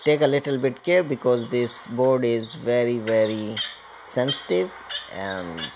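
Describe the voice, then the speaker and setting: A man giving step-by-step hands-on repair instructions in a halting, repetitive way. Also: medium pitch, lively intonation, background noise. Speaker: repair tutorial presenter.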